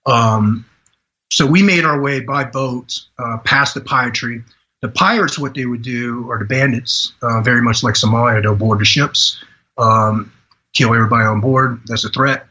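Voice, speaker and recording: A man in his forties from Vietnam, a podcast guest telling his life story in an earnest, halting way with many restarts. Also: medium pitch, pushed and bright, muffled recording. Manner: earnest, halting delivery with frequent "you know" restarts. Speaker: podcast guest, retired Green Beret. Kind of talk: personal storytelling in a podcast interview.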